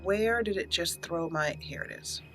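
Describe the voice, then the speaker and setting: A woman's voice: on the low side, normal speed, over music. A woman hosting a gaming video, giving let's play commentary and roleplaying her character's lines.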